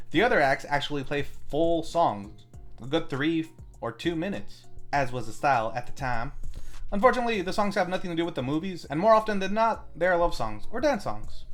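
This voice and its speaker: A man's voice: fairly high pitch, a bit bright. A man hosting a film review, reading a scripted plot recap and adding wry commentary of his own. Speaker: film review show host.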